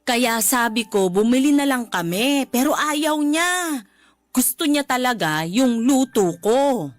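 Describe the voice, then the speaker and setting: A woman playing a loving, middle-aged widowed mother with a Filipino accent in a radio drama, tender, gently teasing and encouraging. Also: sits high, animated, takes it slowly, no echo, soft background music. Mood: fond reminiscing.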